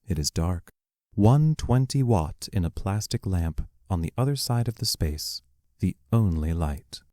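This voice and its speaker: Male stage-directions narrator for audio drama: low, unhurried, dry recording. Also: animated, warm tone, no room sound. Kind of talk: scripted narration.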